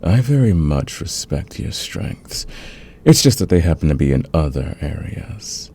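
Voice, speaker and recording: A man playing a smug, flirtatious fantasy villain in a romantic ASMR roleplay, teasing and intimate with a husky, gravelly voice. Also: very deep voice, very animated, mellow, heavily acted. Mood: confiding, smitten. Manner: teasing, intimate, drawn-out confiding delivery. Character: flirtatious, smug male fantasy villain, secretly smitten rival.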